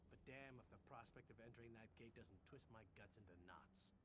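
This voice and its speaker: An actor playing a swaggering, jaunty fantasy game adventurer with dramatic, punchy phrasing. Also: male voice, mid-range voice, brisk, close to an everyday voice. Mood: nervous bravado. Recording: clear ambient game noise behind.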